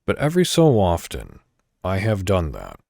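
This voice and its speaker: A man hosting a podcast, speaking in a reflective solo monologue straight to the listener with deliberate, emphatic phrasing. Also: sits low, huge pitch swings, vocal fry, warm tone, no room sound, clean studio sound. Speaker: podcast host.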